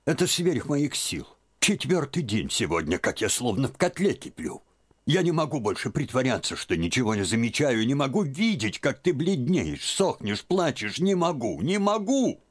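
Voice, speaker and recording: A man putting on a shrill, fussy old aunt. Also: sing-song, moderate pace. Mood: indignant. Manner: shrill, fretful, scolding. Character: fussy excitable old aunt, elderly woman.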